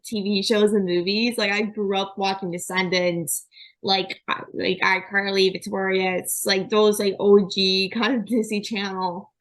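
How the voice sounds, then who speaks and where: A woman's voice: medium pitch, low-quality recording. A young woman hosting a podcast interview, chatty and filling her talk with "like". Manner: chatty, says "like" constantly. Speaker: podcast host. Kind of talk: casual interview chat.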